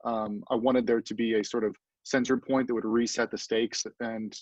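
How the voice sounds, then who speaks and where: A man's voice: mid-range voice, flat delivery, moderate pace. A man who writes for a theatre group, explaining things casually on a dull, dry recording.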